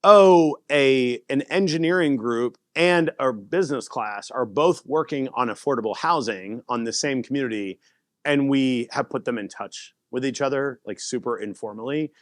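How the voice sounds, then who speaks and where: A man giving a casual conference talk and answering audience questions, animated and laughing often. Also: medium pitch, moderate pace, dry recording, clean studio sound. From United States. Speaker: presenter.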